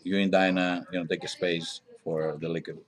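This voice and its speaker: A middle-aged man from Greece with a Greek accent answers interview questions conversationally, in halting non-native English with frequent pauses. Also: low voice, flat delivery. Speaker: interview guest, diner owner.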